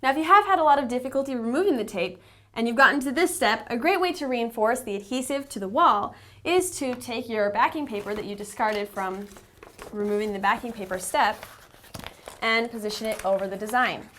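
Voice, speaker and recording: A woman's voice: on the high side, animated, fairly quick, a bit bright. A woman narrating a how-to tutorial, explaining things step by step as an instructor. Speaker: instructor, company representative.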